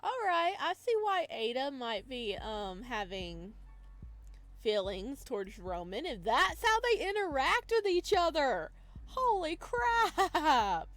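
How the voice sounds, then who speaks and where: A woman reading a visual novel aloud as a let's player, mixing expressive narration with casual reactions. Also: high voice, takes it slowly, no room sound.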